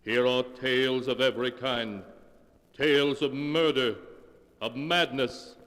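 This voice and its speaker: A man narrating a 1940s radio mystery drama, slow, ominous and theatrical. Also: medium pitch, fairly even intonation, fairly bright tone, big-room sound. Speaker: narrator, keeper of the book.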